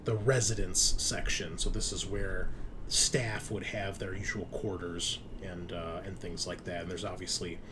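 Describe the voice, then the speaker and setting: A man's voice: deep voice, level tone, measured pace, noisy background. A man casually explaining things to players as game master of an online tabletop roleplaying game, in an informal way.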